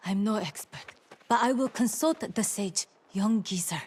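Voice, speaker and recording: A husky-voiced female ruler in a fantasy video game. She speaks at an ordinary pitch with measured formality, earnest and burdened by duty. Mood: thoughtful. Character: dutiful, earnest female town leader, burdened and resolute. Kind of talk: scripted fantasy game dialogue.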